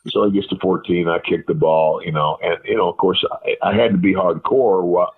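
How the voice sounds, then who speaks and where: A man with a very deep, husky voice tells long, rambling stories as an interview guest, full of fillers and quoted dialogue. He comes through a narrow, tinny phone line. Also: fairly even intonation, fairly quick. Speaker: guest, retired wrestler. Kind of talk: casual storytelling interview.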